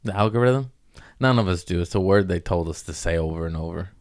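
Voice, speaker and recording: A man's voice: sits low, fast talker, no room sound, studio quality. An American man in his thirties chatting casually on a comedy podcast in a loose, slangy, rambling way, joking as he goes.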